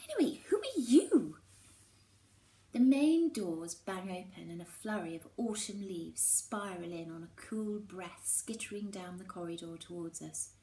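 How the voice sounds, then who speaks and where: A woman teacher reading a children's novel aloud in an expressive storytelling style. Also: lowish voice, measured pace, few pauses. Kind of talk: expressive storybook read-aloud with acted dialogue. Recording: roomy echo with faint background noise.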